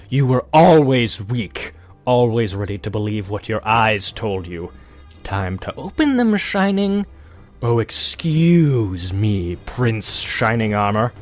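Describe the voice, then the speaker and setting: A man playing a bitter, power-hungry pony guard turned traitor, sneering theatrically in a cartoon audio drama. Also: medium pitch. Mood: scornful. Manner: sneering, theatrical villain monologue. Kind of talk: scripted audio drama acting.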